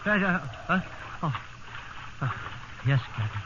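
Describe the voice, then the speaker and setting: An actor playing an obedient, nervous ship's cook adrift at sea in a 1950s radio drama. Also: male voice, fairly high pitch, big pitch swings, unhurried, noisy background. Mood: startled, obedient. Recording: dull old radio, sea ambience.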